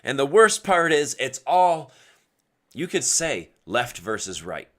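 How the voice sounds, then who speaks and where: A man hosting a casual, opinionated solo podcast in an emphatic way, asking himself rhetorical questions, his voice strained and partly lost from yelling. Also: medium pitch, very animated, takes it slowly. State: losing his voice. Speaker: podcast host.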